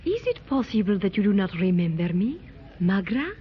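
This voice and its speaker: A woman's voice: mid-range voice, big pitch swings, normal speed, a little hiss or hum, close to an everyday voice. A woman playing a seductive scheming adventuress. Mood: coaxing. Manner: purring, coaxing, speaks of herself by name. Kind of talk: 1930s radio acting. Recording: dull 1930s radio transcription.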